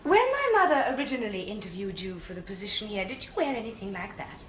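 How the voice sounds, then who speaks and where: An actress playing a cool, haughty young upper-class English lady who speaks in a crisp, cutting, condescending way in a 1970s television period drama. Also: medium pitch, sing-song, quick pace, a bit bright, slight background noise.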